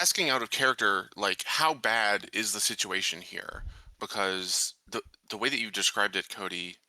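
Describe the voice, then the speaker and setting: A man with a low but strikingly sharp, bright voice, recorded very dry. He discusses a tabletop roleplaying game casually, in a cautious, questioning manner. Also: no room sound.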